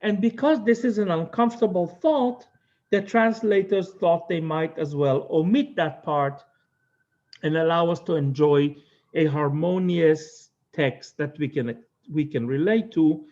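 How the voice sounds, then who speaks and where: A high-pitched man with an Israeli accent leads a study session, speaking earnestly and thoughtfully and pausing often. The recording sounds dull and lo-fi. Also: darkish tone. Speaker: teacher. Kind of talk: text study lecture.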